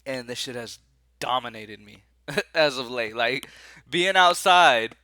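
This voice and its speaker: A man with a stuffy, nasal voice from allergies, hosting casual sports betting picks, excitable and slangy. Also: mid-range voice, slow pace, dry recording.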